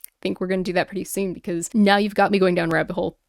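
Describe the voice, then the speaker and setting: A woman hosting a paranormal story show, reading listener tales aloud and reacting with animated, rambling, exclamatory asides. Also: lowish voice, racing, creaky, no echo, clean studio sound. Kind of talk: chatty commentary and reading listener stories aloud.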